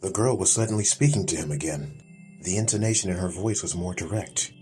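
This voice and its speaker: A man narrates a fantasy story in a low voice. He reads as a scripted audiobook storyteller, with soft music running behind him. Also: brisk.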